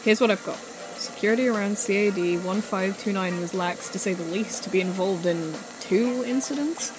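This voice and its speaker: Woman acting as wry guarded lab staffer in a sci-fi podcast, casual and clipped, middle pitch. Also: normal speed, background noise, close to an everyday voice. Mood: intent. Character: guarded, dryly teasing female staffer at secret facility. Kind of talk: audio fiction acting.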